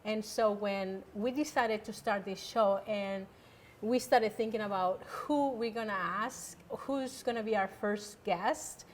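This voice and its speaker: A woman's voice: mid-range voice, a little slow, slight background noise. A woman hosting a casual interview show, talking at length with many fillers and restarts. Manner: rambling, many fillers, warm toward the guest. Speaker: host.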